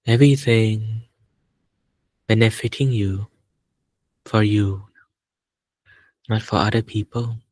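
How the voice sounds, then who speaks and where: Man, meditation teacher, very slow delivery, calm short phrases, long pauses, close dry sound. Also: on the low side, dry recording. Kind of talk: guided meditation instruction.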